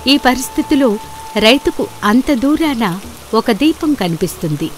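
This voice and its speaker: Lively high-voiced woman storyteller. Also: unhurried, slight background noise. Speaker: narrator. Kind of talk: scripted folktale narration. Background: background music.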